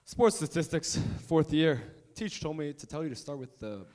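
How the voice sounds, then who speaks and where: A young man playing a laid-back, swaggering high-school jock in a staged reading. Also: very animated, moderate pace, a little mellow. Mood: cocky. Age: young adult. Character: cocky jock high-school senior, lazy charmer.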